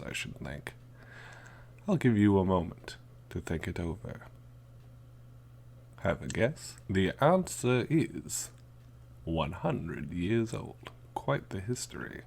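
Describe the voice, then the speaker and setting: Man acting as courtly male mesmer, unhurried, gravelly, soft-spoken, old-fashioned formal wording, ASMR guided meditation, faint background noise. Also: deep voice, heavily acted. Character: mysterious carnival mesmer, courtly, lonely male hypnotist.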